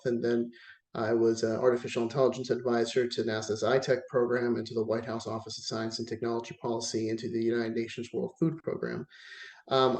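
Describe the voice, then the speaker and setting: A man from Puerto Rico introducing himself to a panel, friendly and steady, mid-pitched. He runs through items like a list, with small fillers between them. The room gives his voice a noticeable echo.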